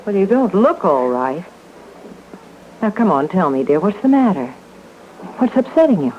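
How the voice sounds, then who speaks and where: A woman's voice: mid-range voice, big pitch swings, moderate pace, natural voice. An actress playing a motherly, concerned and insistent housewife in old radio suspense style. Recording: muffled, noisy old radio broadcast.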